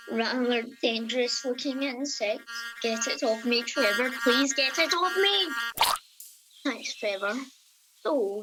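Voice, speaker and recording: A woman's voice: fairly high pitch, a bit bright, low-quality recording. A woman playing a chatty, reckless time-travelling field correspondent with a fussy, theatrical manner in a radio comedy. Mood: breezy, fussy, then panicked. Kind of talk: radio comedy acting as a live report.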